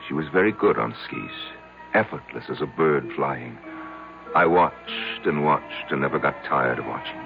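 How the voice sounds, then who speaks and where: Heartsick insecure middle-aged husband, very deep creaky voice, halting, old radio drama. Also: male voice, animated, full character voice. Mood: brooding. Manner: halting, repeats words, anguished. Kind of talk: 1970s radio drama acting. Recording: muffled old radio broadcast with music.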